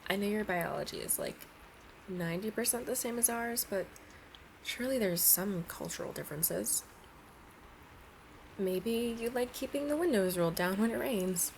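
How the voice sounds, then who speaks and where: A woman's voice: mid-range voice, lively intonation, normal speed, gravelly, a little mellow, slight background noise, low-quality recording. A woman playing a playful, pleading young alien princess at college in an ASMR roleplay, chatting and coaxing the listener directly. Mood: gentle, considerate.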